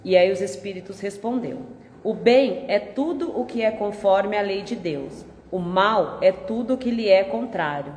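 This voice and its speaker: A Brazilian woman giving a gentle, conversational spiritist talk with a nasal, congested voice from a cold. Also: medium pitch, measured pace, slight background noise. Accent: Brazilian Portuguese. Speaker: spiritist lecturer. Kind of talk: public talk with opening prayer. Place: large hall of a spiritist center. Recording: echoey hall with long reverb.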